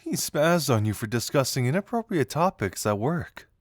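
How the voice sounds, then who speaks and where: A man's voice: medium pitch, sing-song, moderate pace, no room sound, studio quality, close to an everyday voice. A man playing a playful, teasing young detective in an intimate audio roleplay, talking conversationally and with amusement straight to the listener. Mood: sly, musing.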